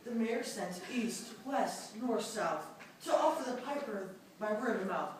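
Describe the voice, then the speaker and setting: A woman's voice: fairly high pitch, expressive, measured pace. A woman reciting narrative verse in a readers theatre performance. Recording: distant, very echoey room, faint noise.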